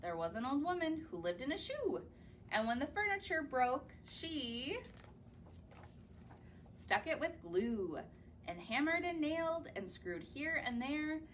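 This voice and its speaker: Children's librarian reading to kids: bright high voice, sing-song, unhurried, keeps quizzing the little listeners. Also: female voice, sing-song, slight background noise. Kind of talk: reading picture books aloud to young children. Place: public library.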